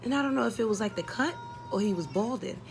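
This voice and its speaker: A woman chatting casually as a vlogger, telling a personal story in an expressive, exasperated, sweary and comedic way. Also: fairly high pitch, fast talker, soft background music.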